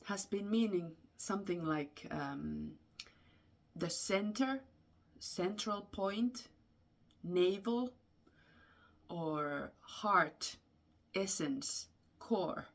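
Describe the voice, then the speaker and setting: A woman with a Finnish accent telling folklore in an unscripted, explanatory way, with halting phrases and frequent pauses. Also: medium pitch, very animated. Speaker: storyteller and host.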